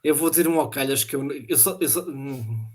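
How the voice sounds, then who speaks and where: A man's voice: medium pitch, normal speed, a little mellow, slightly rough. A young man with a European Portuguese accent, a quiz contestant giving laid-back, hesitant answers. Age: young adult. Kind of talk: casual quiz chat among friends.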